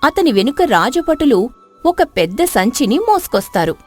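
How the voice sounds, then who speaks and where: A woman's voice: high-pitched, big pitch swings, normal speed, faint music behind. A woman narrating a scripted story in a dramatic storytelling style.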